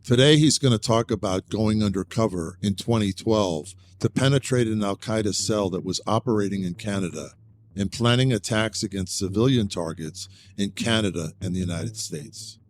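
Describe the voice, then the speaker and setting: A man with a low voice hosts an interview podcast. He reads his introduction earnestly and formally, then asks plain questions. Faint noise sits under his voice. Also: normal speed. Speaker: podcast host.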